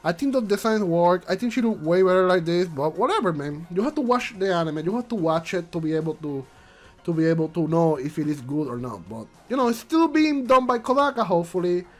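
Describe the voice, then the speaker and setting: Male vlog host, congested and stuffy, high lively voice, faint music under him, rambling non-native English. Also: a little fast. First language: not English. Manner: rambling, repeats himself, frequent restarts. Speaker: channel host. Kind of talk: casual commentary vlog.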